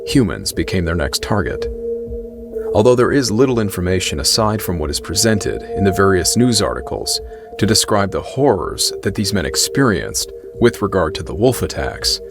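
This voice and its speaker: A man reading scripted documentary narration in a measured, even way. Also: low voice, music in the background.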